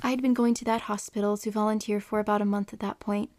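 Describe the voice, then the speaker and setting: An actress playing a lonely, earnest young hospital volunteer in an audio drama, soft-hearted and a little halting. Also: female voice, medium pitch, little pitch movement, background noise, natural voice. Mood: reflective, wistful. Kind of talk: first-person audio drama narration and dialogue.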